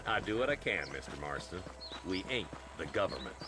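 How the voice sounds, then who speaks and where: An actor playing a weary, gruff old-west marshal in a video game, with a folksy, wry American Western drawl. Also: male voice, sits low, big pitch swings, brisk, very noisy. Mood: dry, weary. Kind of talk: video game dramatic acting.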